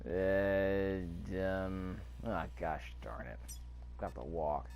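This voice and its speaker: Low-voiced man muttering through a game. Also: steady tone, extremely slow, gravelly, a little mellow, slight background noise. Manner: thinking aloud, mildly grumbling, rhetorical questions. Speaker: video game player narrating a playthrough. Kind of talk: casual gameplay commentary.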